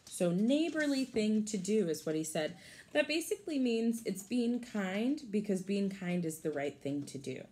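A woman's voice: mid-range voice, sing-song, normal speed, darkish tone. A woman in her thirties reading a picture book aloud to young children like a teacher, expressively, with casual explanatory asides. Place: small room at home, indoors. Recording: home recording with clear background noise.

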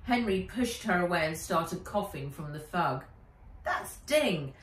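A middle-aged woman reading a children's book aloud as its author, with measured, animated storytelling. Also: on the low side. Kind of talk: expressive children's audiobook-style narration. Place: living room by a window. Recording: roomy, distant home camera sound.

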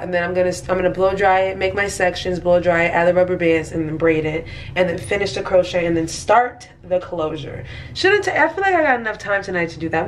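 Casual woman vlogger in her thirties, congested and nasal, talks loosely to viewers at home over some background hiss. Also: mid-range voice. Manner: chatty, rambling, addresses viewers as guys. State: stuffy, nasal from allergies. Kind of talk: casual vlog chat to viewers. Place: small apartment kitchen, indoors.

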